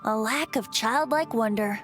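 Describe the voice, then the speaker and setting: A woman's voice: a little high, a little slow, music in the background, natural voice. A woman voicing a whimsical young bard in a video game, playful and teasing with a theatrical lilt. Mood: musing. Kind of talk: video game character voice acting.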